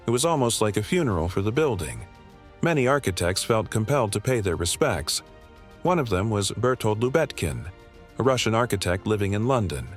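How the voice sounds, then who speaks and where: A man narrating a scripted documentary in a measured storytelling manner. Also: lowish voice. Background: background music.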